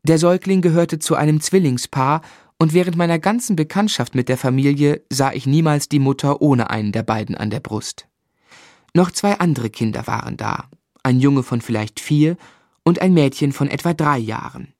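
An actor as a grown man recalling his childhood, in measured literary radio play narration. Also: fairly high pitch, darkish tone, no room sound, studio quality, natural voice. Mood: wry.

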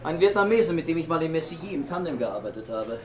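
An actor playing a wry, deliberate French police commissioner in a German radio crime drama. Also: male voice, a little high, big pitch swings, darkish tone. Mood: businesslike, wry.

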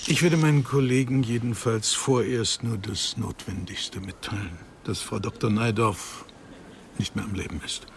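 An actor playing a dignified, discreet professor of psychoanalysis in a German radio crime drama, speaking carefully and formally. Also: male voice, fairly low pitch, moderate pace, a little hiss or hum, lightly acted. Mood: guarded, grave.

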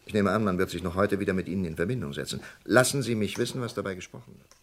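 An actor playing a shrewd, firm FBI investigator in an old radio play, measured and authoritative. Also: male voice, deep voice, close to an everyday voice. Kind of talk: 1960s German radio play acting.

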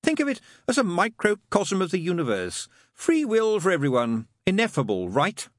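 A sly, sardonic demon played by a male narrator from a storybook. He is impatient and sarcastic, his pitch raised and swinging widely, in a dry, close recording. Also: huge pitch swings, moderate pace, no room sound, heavily acted. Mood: coaxing, defensive. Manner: sarcastic, exasperated.

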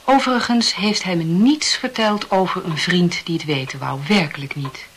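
An actress playing a cool young lady in a radio play, polite and formal, questioning with a gentle tease. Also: fairly low pitch, normal speed, slight background noise, close to an everyday voice. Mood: probing.

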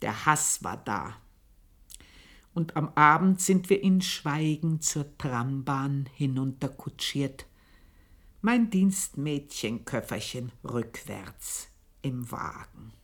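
An actress playing an aging, brooding housemaid in a radio play monologue, moving from loud outbursts to hushed whispers. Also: female voice, extremely low pitch, expressive, takes it slowly, full character voice. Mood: bitter. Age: older.

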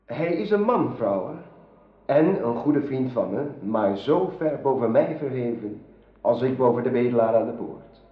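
An actor playing a devoted, courteous knight in a radio play, speaking formally and earnestly. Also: male voice, mid-range voice, lively intonation, a little slow, natural voice. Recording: roomy, dull old radio drama recording.

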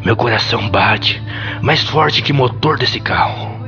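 Earnest, formal romantic suitor played by a man, gravelly, lo-fi radio melodrama with faint music. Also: mid-range voice, expressive, measured pace. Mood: lovestruck. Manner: gushing, formal. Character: Ricardo Antônio, earnest old-fashioned smitten suitor. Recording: dull lo-fi audio under music.

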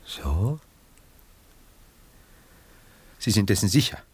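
A man acting in a 1960s German radio drama as a cultivated, coolly ironic gentleman who speaks with formal, measured, detached courtesy. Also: lowish voice, sing-song, slightly rough, dry recording, exaggerated voice. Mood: resigned, composed.

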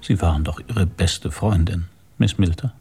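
A man playing a measured, probing police inspector in a radio drama, husky and deliberate in his speech. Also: sits low, warm tone, soft background music. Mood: probing, dry. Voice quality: husky, gravelly.

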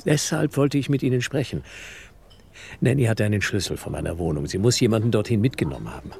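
Earnest, low-voiced suspected director. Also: male voice, expressive, fast, creaky, darkish tone, a little hiss or hum. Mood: urgent, probing. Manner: earnest, probing. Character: troubled theatre director under suspicion, doggedly investigating. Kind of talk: German radio drama acting.

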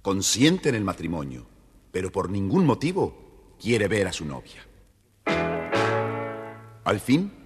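Low raspy guy telling a kids fairy tale, really animated, hall reverb w soft music. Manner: expressive, theatrical. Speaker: narrator. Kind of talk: scripted children's storytelling narration.

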